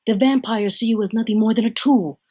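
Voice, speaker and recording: A woman playing a courtly, devoted immortal lover in an audio drama, gentle, formal and coaxing with a possessive edge. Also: on the high side, fairly quick, husky. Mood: earnest, determined. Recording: muffled audio, faint music behind.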